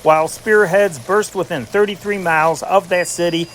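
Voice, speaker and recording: A man's voice: high-pitched, steady tone, moderate pace, fairly bright tone, a little hiss or hum. A man reading scripted news dispatches in a formal, declarative newscast manner, punching the datelines. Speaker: narrator reading old newspaper dispatches.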